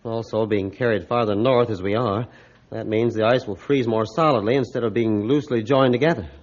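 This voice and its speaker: A man playing a middle-aged ship's captain, measured, formal and authoritative, in a 1950s radio drama. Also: fairly low pitch, fairly even intonation, lightly acted. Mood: grave. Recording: dull 1950s radio recording.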